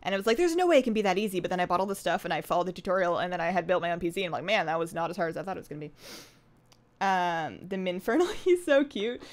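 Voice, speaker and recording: A woman in her twenties chatting casually on a live game stream, rambling along in a bubbly, animated and giggly way. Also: fairly low pitch, racing. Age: 20s. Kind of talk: casual live stream chat while gaming.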